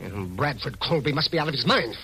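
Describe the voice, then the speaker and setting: An actor playing a small, fussy, easily rattled newsman in an old radio drama, excitable and stammering. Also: medium pitch, a little fast, breathy edge. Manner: excitable, stammering, slightly prissy. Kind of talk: 1940s-1950s radio drama acting.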